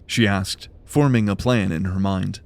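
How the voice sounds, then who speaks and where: A man's voice: low voice, fairly even intonation, a little slow, creaky. A man reading scripted, dramatic narration for a fantasy audio drama.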